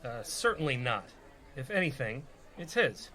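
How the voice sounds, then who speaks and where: An actor playing an evasive, pragmatic rogue in a comedy radio play, exasperated and reluctant. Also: male voice, mid-range voice, sing-song, normal speed, sharp tone. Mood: irritated.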